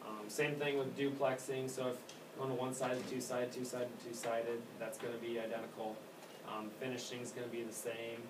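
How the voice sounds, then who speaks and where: A man leads a casual hands-on training session as a technician. He explains things haltingly, in an everyday way, and tends to trail off. The recording is distant and echoey, with clear noise behind him. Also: mid-range voice, little pitch movement. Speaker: copier technician giving staff training. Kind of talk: informal hands-on product training. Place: indoor room at an elementary school.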